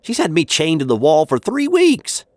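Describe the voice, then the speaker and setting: Animated, exasperated American man played by an actor. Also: fairly high pitch, moderate pace, no echo, studio quality. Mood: indignant, exasperated. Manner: indignant, reluctant, polite. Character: exasperated, awkward American narrator held captive. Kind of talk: comedy radio sitcom acting.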